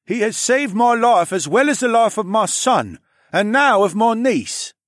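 A man voicing a placid, commanding male lizard-man captain who speaks with calm authority. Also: sits high, unhurried, no room sound, studio quality, voice pushed up, exaggerated voice. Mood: firm.

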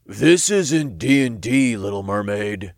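A man's voice: fairly low pitch, expressive, slow pace, creaky, dry recording, clean studio sound, exaggerated voice. A man voicing a brash, theatrical, self-important imp in an audiobook story. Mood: bossy. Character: cocky, slangy male imp, self-important sidekick.

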